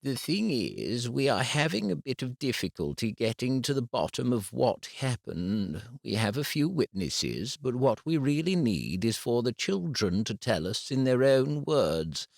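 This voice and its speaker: A man voicing a calm, formal female head teacher in an audiobook, speaking patiently and carefully. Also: medium pitch, a little slow, creaky, hardly any pauses, no room sound, clean studio sound, voice pushed up, full character voice. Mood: measured.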